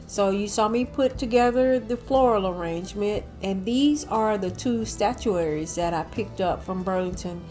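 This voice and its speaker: A woman's voice: mid-range voice, normal speed, hardly any pauses, a little mellow, faint music behind. An older woman, slightly nasal from a cold, chatting casually in a home decor voice-over. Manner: chatty, addresses viewers as y'all.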